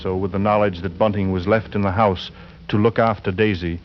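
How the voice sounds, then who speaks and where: An actor playing the grave storyteller of a 1940s radio suspense drama, reading dramatic scripted narration. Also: male voice, on the low side, steady tone, brisk, natural voice. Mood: grave, suspenseful. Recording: muffled, noisy old 1940s radio recording.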